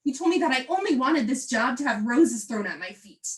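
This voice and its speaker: A high-pitched young wife played by a student actress in a stage drama. She is defiant and wounded, standing up for what she longs to do. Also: expressive, a little fast, a little hiss or hum. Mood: resolute, upset. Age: young adult. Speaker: student actor. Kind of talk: stage play dialogue.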